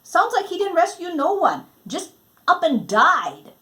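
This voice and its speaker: A woman's voice: extremely high pitch, sing-song, measured pace, sharp tone, voice pushed up, heavily acted. A woman voicing a blunt, doubting country boy in a storybook, with a folksy, indignant manner.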